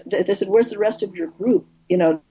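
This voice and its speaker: A woman film director, an interview guest, telling animated stories in casual conversation with frequent restarts. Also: fairly quick, highs cut off. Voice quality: husky, low.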